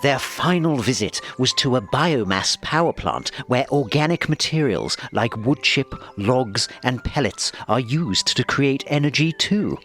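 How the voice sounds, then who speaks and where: A man reading a children's story as narrator, with expressive scripted delivery. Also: mid-range voice, measured pace, few pauses. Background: music.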